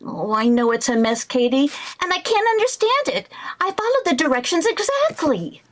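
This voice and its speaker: Woman playing a high-pitched, excitable radio sitcom housewife. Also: normal speed. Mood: flustered, earnest. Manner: theatrical, exclamatory, mock-innocent. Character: excitable, scheming, flighty housewife in a radio comedy. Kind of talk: 1940s radio sitcom acting.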